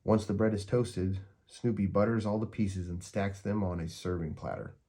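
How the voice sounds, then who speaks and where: A man's voice: low-pitched, normal speed, warm tone, breathy edge. A man reading a children's picture book aloud as a story time narrator.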